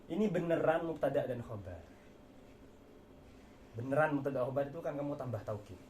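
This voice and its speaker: A man's voice: mid-range voice, a little mellow. A man giving an informal lecture as a religious teacher, explaining through repetition and rhetorical questions. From Indonesia. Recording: echoey room with faint background noise.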